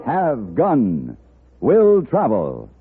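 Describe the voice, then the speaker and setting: A man announcing a radio show in a formal, dramatic and measured 1950s style. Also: mid-range voice, huge pitch swings, over music. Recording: muffled old radio broadcast.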